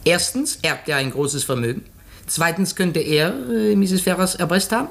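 An actor playing a shrewd, self-assured foreign detective in a theatrical, didactic manner, in 1950s German radio drama style. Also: male voice, fairly high pitch, moderate pace, creaky, a bit bright. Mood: confident, probing. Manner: theatrical, didactic, sprinkles in French exclamations.

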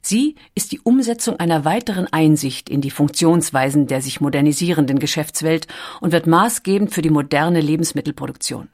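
A female narrator with a slightly low voice delivers a scripted documentary. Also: a little fast, darkish tone.

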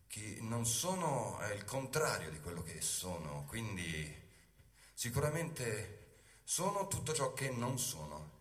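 An actor playing a sly, mocking schemer in a theatrical monologue, declaiming and taunting between murmurs and shouts. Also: male voice, mid-range voice. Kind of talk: theatrical monologue as a scenic concert with music. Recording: echoey stage sound, distant voice.

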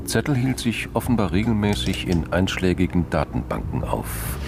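A man with a gravelly voice reading scripted narration for a crime radio drama. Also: sits low, very animated, normal speed, noisy background.

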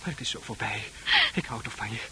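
An actor playing a tormented, obsessively devoted lover in a radio play, insistent, pleading and tender. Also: male voice, fairly low pitch, quick pace, heavy background noise, lightly acted. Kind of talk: intense radio play acting.

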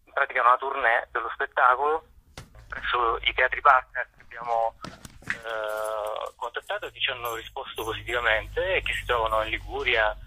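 A man giving unscripted, halting answers as a guest in a radio interview, searching for words. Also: mid-range voice, steady tone, sharp tone, slightly rough, low-quality recording. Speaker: theatre festival director, interview guest.

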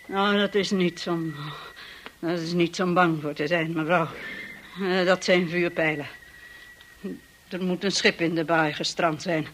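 An actress playing a hostile, obsessive housekeeper in a radio drama, speaking with slow, menacing contempt. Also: female voice, on the low side, fairly bright tone, a little hiss or hum. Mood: startled, servile. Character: hostile, obsessive, grieving housekeeper, a grown woman.

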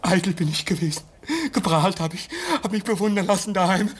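A man's voice: high-pitched, fairly even intonation, a little fast, husky. An actor playing a young male radio operator on the verge of breakdown, pleading and weeping. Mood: despairing. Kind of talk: 1950s radio play acting. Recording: old radio play with faint hiss.

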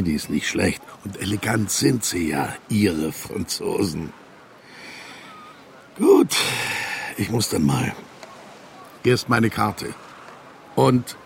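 A jovial old East German colonel in retirement, from a radio play. The voice is lowish and gravelly, swinging widely as he exclaims and reminisces. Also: a little hiss or hum. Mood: chatty. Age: older. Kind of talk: radio drama acting.

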